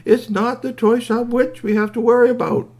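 A man's voice: very high voice, fairly even intonation, moderate pace, darkish tone, voice pushed up. A man voicing a small, earnest talking toy robot in a children's story, chiding and fretful, repeating phrases insistently. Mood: urgent, upset.

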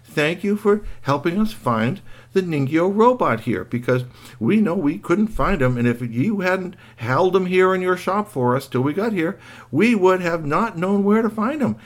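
A man's voice: high voice, lively intonation, moderate pace, pitched above the performer's own voice. An eager, gushing woman doctor, voiced by a man telling a story chatters in a raised, swinging voice. She keeps talking, sweet and persuasive. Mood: grateful.

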